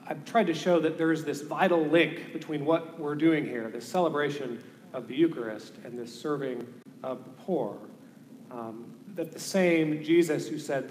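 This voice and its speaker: A man's voice: on the high side, a little hiss or hum. A man in his thirties with a Southern American accent, a priest giving an earnest sermon, hesitant, with many ums. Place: large church sanctuary. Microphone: far camera. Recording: echoey church from far off.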